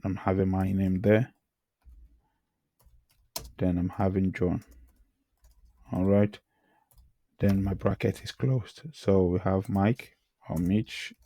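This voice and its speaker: A hoarse man who says he has lost his voice, teaching programming and talking through the code he types, often clearing his throat. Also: sits low, mellow, dry recording. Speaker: programming tutor. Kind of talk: screen-recorded coding tutorial.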